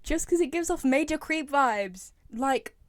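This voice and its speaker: A woman's voice: high-pitched, expressive, a little slow, heavy background noise. A young woman with a British accent giving casual opinion commentary as a video host, often repeating herself for emphasis. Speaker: video host, game fan.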